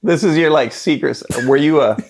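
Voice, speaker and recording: A man's voice: fairly high pitch, normal speed, creaky, darkish tone, a little hiss or hum. A man in his forties, a guest in a casual interview, talking in a rambling, self-deprecating way and laughing often. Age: 40s.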